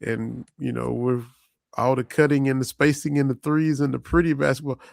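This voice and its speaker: Hoarse male podcast host with a gravelly, croaky edge, loose rambling delivery, dry close mic. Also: medium pitch, flat delivery, moderate pace, a little mellow, no room sound. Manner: loose, rambling, repeats himself. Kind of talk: casual sports chat.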